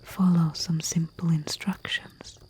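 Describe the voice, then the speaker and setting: A woman playing a humble, soothing herbalist in a soft-spoken ASMR roleplay, with a breathy whisper and a hushed manner. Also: deep voice, level tone, rough recording. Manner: slow, hushed, soothing, with long pauses. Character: humble female herbalist, soothing and deferential servant to nobility. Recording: faint hiss with chirping night crickets. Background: crickets and night insect ambience.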